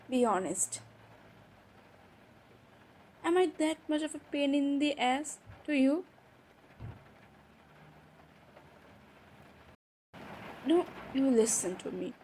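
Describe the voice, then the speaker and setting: Slow, high-voiced woman playing a hurt young stepsister. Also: a little mellow, rough recording. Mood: angry, defiant. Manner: emotional, halting, with sighs and pauses. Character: hurt, defiant young stepsister, college student. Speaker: roleplay audio performer. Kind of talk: improvised audio roleplay. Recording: faint background noise.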